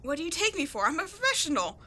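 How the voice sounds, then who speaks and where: A woman acting in a roleplay audio drama as a brash young tomboy archaeologist with a soft side, animated, slangy and teasing. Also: very high voice, fast, a little hiss or hum, full character voice. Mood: indignant.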